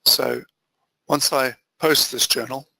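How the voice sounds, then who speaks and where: Bright, gravelly man giving a software walkthrough. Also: mid-range voice, fairly even intonation, takes it slowly, no echo, rough recording. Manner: methodical, explains step by step in short phrases. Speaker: software trainer presenting a webinar demo. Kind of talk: instructional screen-share walkthrough.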